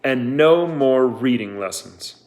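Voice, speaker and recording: A man reading aloud, voicing a stern, sarcastic woman who runs a camp, with cold, drawn-out questions. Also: very deep voice, expressive, a little mellow, heavily acted. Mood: icy.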